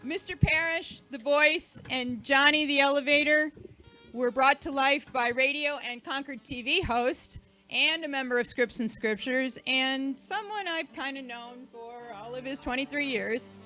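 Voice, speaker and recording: Female event host, high and bright, chatty and emphatic, thanking a crowd informally. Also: measured pace, soft background music, low-quality recording. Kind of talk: informal thank-you speech to a live audience.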